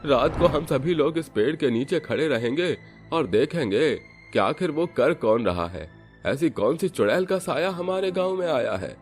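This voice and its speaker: A man's voice: fairly high pitch, animated, moderate pace, faint music behind. A man voicing a worried male villager in a cartoon story, speaking earnestly. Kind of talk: cartoon voice acting.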